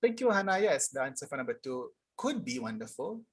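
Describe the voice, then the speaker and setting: A young man teaching an English grammar lesson live online, explaining casually and reading sentences aloud slowly. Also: medium pitch, big pitch swings, husky. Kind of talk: live online tutorial reading chat answers.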